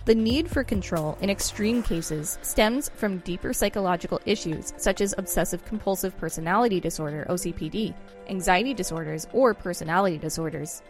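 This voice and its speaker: A woman's voice: fairly low pitch, fairly quick, soft background music. A woman co-hosting a podcast, reading articles aloud and commenting informally. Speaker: podcast co-host.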